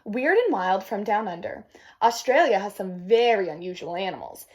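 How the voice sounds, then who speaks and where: A woman's voice: medium pitch, animated, moderate pace, husky. A woman reading a children's nature book aloud, with casual teacherly asides to young listeners. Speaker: read-aloud presenter.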